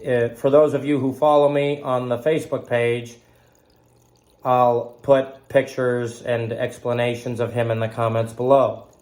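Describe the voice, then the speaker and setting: A man in his thirties from Southern California, hosting a faith broadcast while recovering from illness. He talks slowly and haltingly, with fillers and a slight cough. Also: medium pitch, flat delivery, a little mellow. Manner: slow, halting, with frequent fillers and throat clearing. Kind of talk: casual spoken tribute.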